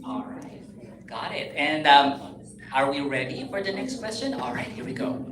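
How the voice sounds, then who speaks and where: A woman with a very low voice runs a press question-and-answer session, brisk and upbeat. She is heard from a distance in an echoey hall, with loud crowd noise all around. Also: expressive. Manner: brisk, upbeat, with filler words. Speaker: event moderator. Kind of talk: hosting a press Q and A. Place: hotel event hall with a crowd.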